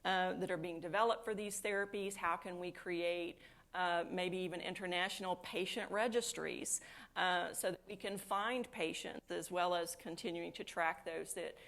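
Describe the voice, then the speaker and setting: A woman with a fairly low voice answering as an executive on a conference panel. She works through her points aloud, repeating words and filling gaps with "you know". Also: steady tone, moderate pace, vocal fry, fairly bright tone. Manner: thoughtful, with many restarts and "you know". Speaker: chief operating officer on a panel. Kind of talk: panel discussion. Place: conference stage.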